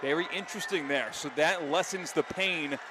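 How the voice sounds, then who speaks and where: An American man calling live football play-by-play, descriptive and animated, from an outdoor stadium. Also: on the high side, moderate pace, crowd noise. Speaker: play-by-play announcer. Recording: live stadium broadcast with loud background noise. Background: loud stadium noise.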